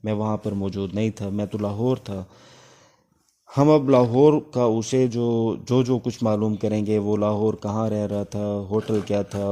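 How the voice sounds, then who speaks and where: Male law lecturer, Pakistani accent, lowish and very mellow, explanatory, halting delivery. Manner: explanatory, halting with pauses between phrases. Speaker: law teacher. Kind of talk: informal lecture explaining exam material.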